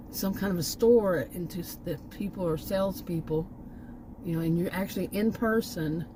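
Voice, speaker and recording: A fairly low-voiced woman from Texas, speaking with a Texan accent, rambles on in a chatty vlog monologue, stopping to correct herself and filling gaps with "um" and "you know." She is recording outside near a stretch of woods, and steady outdoor noise runs under her voice the whole time. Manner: rambling, chatty, with frequent fillers and self-corrections. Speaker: channel host.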